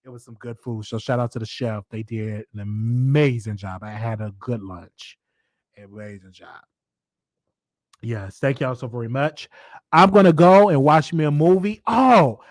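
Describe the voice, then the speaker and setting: A man's voice: medium pitch, no room sound. Chatty Southern man in his thirties, dry. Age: 30s. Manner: chatty, laughs often, repeats himself. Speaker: host. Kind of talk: casual live-stream chat.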